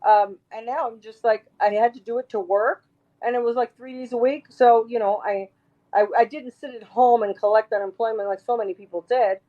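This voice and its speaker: A woman with a raspy voice, talking emphatically as an interview guest, rambling and restarting her sentences. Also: a little high, fairly even intonation, brisk, phone-quality sound. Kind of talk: casual interview talk.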